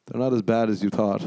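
A young Irish man hosting a podcast, rambling and swearing as he jokes, jumping into high squeaky voices for the punchlines. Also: a little mellow. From Ireland. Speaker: podcast host. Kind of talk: casual comedic monologue.

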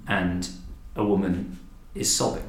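A man hosting a podcast in a measured, articulate, deliberate and descriptive way. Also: low-pitched, flat delivery. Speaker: podcast host, director of a historic house. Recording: roomy, echoing indoor sound.

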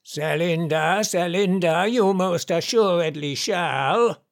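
A man voicing a stern, wrathful, domineering father in a classic novel reading, with a creaky voice and menacing, emphatic delivery. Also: high-pitched, takes it slowly, no echo, clean studio sound, full character voice. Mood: stern, threatening. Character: stern, wrathful middle-aged father, domineering and doom-laden.

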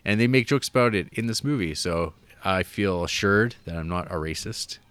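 A man's voice: deep voice, animated, moderate pace, a bit bright, smooth. A man co-hosting a podcast, reviewing a film in a rambling, casual way with lots of fillers and wry asides. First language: English.

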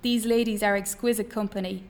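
A woman acts out a gruff Australian farm boss in a novel reading. He is hearty and fond of his workers, yet quick to lose patience with them. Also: high voice, steady tone. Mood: hearty, jovial.

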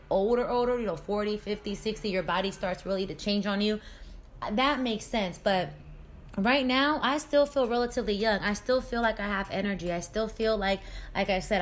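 A woman in her thirties talking candidly in a casual personal vlog, chatty and loose with slang and the odd swear word. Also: medium pitch. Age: 30s. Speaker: vlogger.

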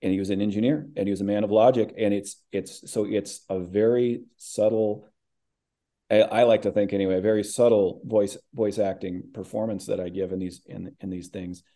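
A man's voice: fairly low pitch, steady tone, moderate pace, mellow. A man from the American Midwest, a voice actor, talking about his work as an interview guest, thoughtful and rambling with many restarts.

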